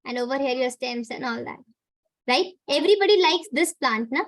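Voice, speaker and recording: High-voiced Indian woman teacher. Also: animated, brisk, a bit bright. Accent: Indian English. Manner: chatty, repeats "right?" to check in with students. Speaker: online teacher. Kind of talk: motivational talk to students in a live class.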